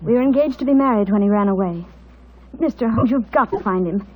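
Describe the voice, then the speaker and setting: A woman's voice: mid-range voice, lively intonation, a little fast, close to an everyday voice. An actress playing an earnest, lovelorn young Englishwoman, pleading urgently in an old radio drama. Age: young adult. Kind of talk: 1940s radio drama acting. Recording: muffled vintage 1940s radio recording.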